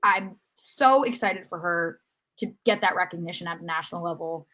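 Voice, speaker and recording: A woman's voice: lowish voice, normal speed, pushed and bright. A woman hosting a podcast, chatting casually about sports in an enthusiastic, talkative way. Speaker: podcast host.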